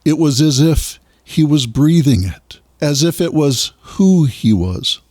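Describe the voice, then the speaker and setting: An actor playing a gentle, reflective religious scholar in an audio drama, with a grave and measured delivery. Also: male voice, fairly high pitch, lively intonation, dry recording, studio quality. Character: Nicodemus, gentle reflective male Sanhedrin scholar.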